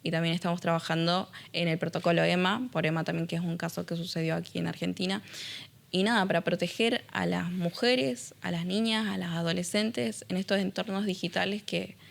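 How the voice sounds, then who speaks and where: A woman's voice: fairly low pitch, steady tone, a little fast, creaky. A woman activist being interviewed as a guest, speaking matter-of-factly. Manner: matter-of-fact, informative. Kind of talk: interview answers.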